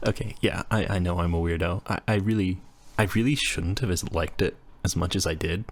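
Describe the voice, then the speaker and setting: A man's voice: deep voice, moderate pace. A man voicing a nerdy, flustered engineering student roommate in a casual roleplay audio, stammering and rambling in a self-deprecating way. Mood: sheepish, fond. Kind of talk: intimate roleplay audio, casual scripted chat.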